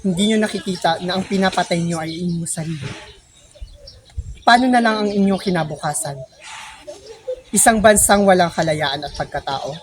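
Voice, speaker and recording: A man's voice: high-pitched, normal speed, long pauses, slight background noise, close to an everyday voice. A man playing an earnest, stern young Filipino patriot in an amateur student historical drama. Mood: stern, reproachful.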